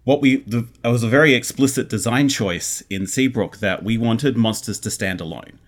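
A man's voice: medium pitch, normal speed. Earnest man explaining in casual conversation. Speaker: interview guest, audio drama creator. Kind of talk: casual interview chat.

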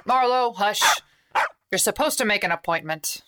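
An actress playing a wry, hard-boiled private eye in a radio play, with a dry, sardonic manner. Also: female voice, medium pitch, expressive, moderate pace, heavy background noise, lightly acted. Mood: dry, skeptical. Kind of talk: radio play noir narration and dialogue.

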